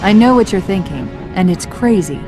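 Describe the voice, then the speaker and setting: A woman voicing a confident, witty military artificial intelligence in a video game, crisp, sly and assured. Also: mid-range voice, expressive, moderate pace, music in the background, close to an everyday voice. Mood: sly, wry. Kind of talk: cinematic video game acting.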